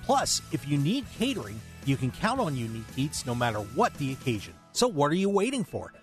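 A man's voice: fairly high pitch, very animated, a little fast, soft background music. A man hosting a radio show in a casual sports-talk style. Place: radio studio.

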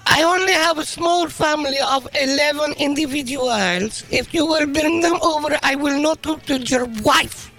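A man voicing a clingy, demanding Eastern European woman caller in broken English and a high falsetto. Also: normal speed, few pauses, bright, forceful tone, faint music behind, heavily acted, poor audio. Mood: pleading. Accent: mock Eastern European. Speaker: prank caller bit.

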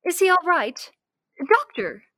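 A high-pitched young heroine acted by a woman in classic radio drama style. The voice is close and dry, with an earnest, questioning delivery. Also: very animated, unhurried, creaky, pushed and bright, dry recording, heavily acted. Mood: alarmed. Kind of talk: old-time radio drama acting.

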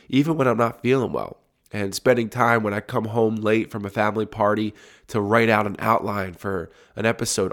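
A young man hosting a solo podcast while congested with a cold, his voice deep and resonant, talking in a relaxed, reflective way with frequent restarts. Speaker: podcast host. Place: home recording room.

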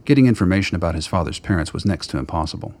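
A man narrating a scripted radio drama in a measured, literary storytelling manner. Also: sits low, lively intonation, darkish tone.

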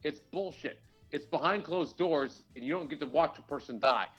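Man, higher-pitched voice, blunt, sweary, emphatic podcast opinions, music in the background. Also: moderate pace, slightly rough, dull audio. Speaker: podcast co-host. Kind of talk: casual, heated opinion chat.

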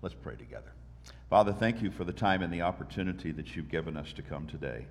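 A pastor with a congested voice from a sinus infection welcomes the congregation in church and leads them in prayer with earnest, steady delivery. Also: male voice, deep voice, quick pace. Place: church sanctuary. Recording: long church-hall reverb.

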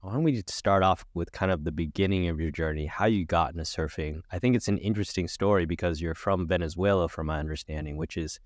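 A man's voice: sits low, quick pace, hardly any pauses, no room sound, studio quality. A man hosting a podcast, talking in an easygoing way with many hesitations. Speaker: podcast host. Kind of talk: casual interview talk.

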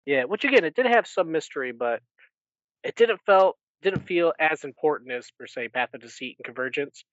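A man's voice: on the high side, expressive, moderate pace, a bit bright, dry recording, highs cut off. A man co-hosting a podcast, chatting casually through a book recap in a rambling way and often correcting himself. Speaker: podcast co-host.

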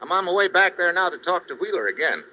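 An actor playing a wry, unflappable American foreign correspondent with an American accent in an old radio thriller. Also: male voice, high-pitched, big pitch swings, fast, very noisy. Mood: cool, probing. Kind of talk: 1950s radio thriller acting.

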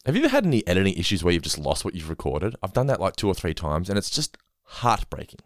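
A man hosting a casual podcast chat, talking quickly and laughing often. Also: low-pitched, huge pitch swings, dry recording, clean studio sound. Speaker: podcast host.

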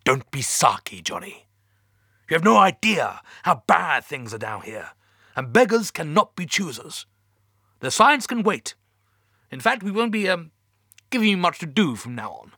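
Audio story mission chief, done by a male reader: husky, lively pitch, brisk, evasive, hesitant and condescending. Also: no echo, clean studio sound, heavily acted. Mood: brisk, dismissive. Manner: hesitant, wheedling, patronising. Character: evasive, brisk space mission boss, falsely cheery.

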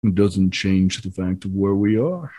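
A man voicing a menacing, mocking otherworldly villain in a tabletop game, in a soft dark tone with slow, taunting formality. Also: low voice, expressive, dry recording, voice pushed down.

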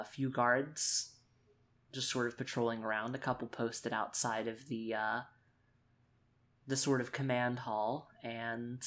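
A man's voice: medium pitch, fairly bright tone. A man narrating a tabletop roleplaying game as game master, hesitant and searching for words as he improvises.

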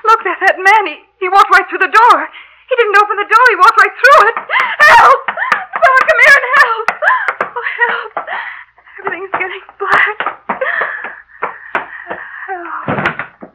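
An actress playing a young office receptionist, polite and easily frightened, with formal, theatrical delivery in an old radio drama. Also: female voice, extremely high pitch, unhurried, long pauses. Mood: hysterical fear. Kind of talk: 1940s radio drama acting. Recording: noisy, tinny old radio recording.

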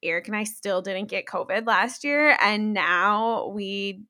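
A slightly hoarse woman co-hosting a casual podcast, rambling along in conversational chat. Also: medium pitch, measured pace, sharp tone. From Pennsylvania. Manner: rambling, many "like" fillers. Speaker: podcast co-host.

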